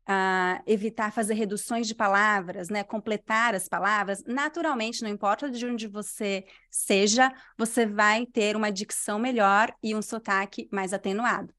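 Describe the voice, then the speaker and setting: A Brazilian woman with a mixed Brazilian Portuguese accent, a language teacher answering interview questions in clear, unhurried speech. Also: mid-range voice, a bit bright. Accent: Brazilian Portuguese, mixed regional. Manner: clear, unhurried diction, completes every phrase.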